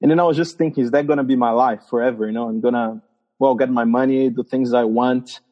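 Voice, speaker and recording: A man from Brazil with a Brazilian Portuguese accent, a missionary guest telling his story in a casual podcast interview, halting and laughing often. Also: mid-range voice, fairly even intonation, highs cut off.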